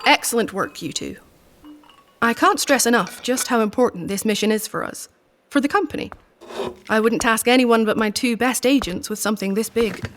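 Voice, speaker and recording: A woman's voice: a little high, fairly bright tone, slight background noise, close to an everyday voice. A woman playing a stern company chief. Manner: formal, commanding, measured. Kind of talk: audio drama acting.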